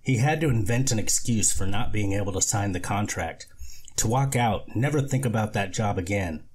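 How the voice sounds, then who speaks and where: A man's voice: lowish voice, husky. A man reading a novel aloud as scripted narration, as an amateur audiobook reader.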